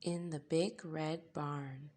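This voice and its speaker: A woman reading a picture book aloud for young children as a storytime host, with measured, gentle phrasing and playful emphasis. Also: sits low, steady tone, slightly rough.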